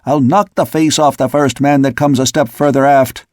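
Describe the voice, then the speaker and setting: A man voicing a hot-tempered, bullying first mate of a sailing ship in a sea-story audiobook, shouting rough threats. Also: medium pitch, fairly even intonation, normal speed, no room sound, studio quality, natural voice. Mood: furious, threatening. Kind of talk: audiobook character voice.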